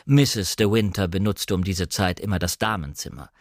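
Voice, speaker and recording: A man voicing a reserved butler of a grand house in an audiobook, speaking in a measured, deferential, formal manner. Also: deep voice, no echo, clean studio sound, pitched below the performer's own voice, lightly acted. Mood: politely reserved. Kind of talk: audiobook character reading.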